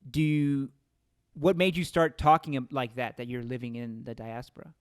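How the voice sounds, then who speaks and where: Clear, dry-sounding American man in his thirties. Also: medium pitch, little pitch movement, moderate pace, no echo. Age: 30s. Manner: informal, emphatic, seeks agreement with "right?" and "you know". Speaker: interviewer, host. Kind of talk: casual conversational interview.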